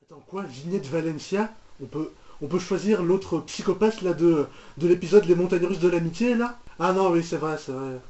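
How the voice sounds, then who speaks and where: A man's voice: a little high, lively intonation, normal speed, few pauses, warm tone. A man giving casual, rambling, mock-exasperated commentary as he reacts to a cartoon, often repeating himself. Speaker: reaction video host. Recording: roomy, dull lo-fi microphone sound.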